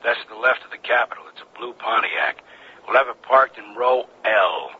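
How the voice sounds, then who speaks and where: An actor playing a cool, commanding conspiracy boss giving terse orders in a 1970s radio drama. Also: male voice, fairly low pitch, expressive, moderate pace, slightly rough. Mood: brisk. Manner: terse, matter-of-fact orders. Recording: dull, faintly noisy two-way radio.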